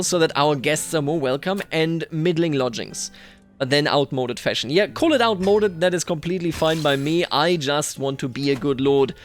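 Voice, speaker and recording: A man hosting a gaming video, thinking aloud in a musing way as he roleplays a strategy game. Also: on the high side. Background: music.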